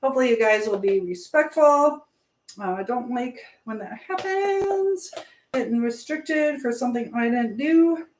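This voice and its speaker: A woman's voice: a little high, lively intonation, measured pace, a little mellow, a little hiss or hum. A woman hosting a casual live chat, rambling as she reads out and answers viewer comments. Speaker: livestream host. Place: indoors at home, in an echoey room. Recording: echoey room.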